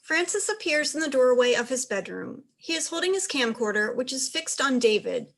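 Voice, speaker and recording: Higher-pitched woman narrating stage directions. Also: lively intonation, normal speed, a bit bright. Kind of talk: scripted narration.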